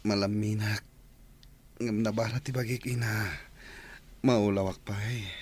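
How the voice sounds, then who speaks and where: A man's voice: fairly low pitch, level tone, measured pace, darkish tone, natural voice. A man playing an ailing, skeptical young adult son in an Ilocano radio drama. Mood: weary, doubtful. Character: ailing, doubtful grown son confined to his room. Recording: faint background noise.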